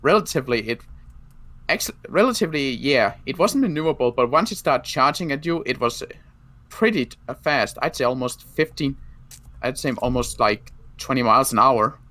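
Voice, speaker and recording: Bright-voiced man playing a halting zombie survivor. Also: mid-range voice, animated. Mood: wary. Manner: halting, restarts and rephrases often. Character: battle-worn male ex-military zombie apocalypse survivor, cautious marksman. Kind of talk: improvised Minecraft zombie roleplay.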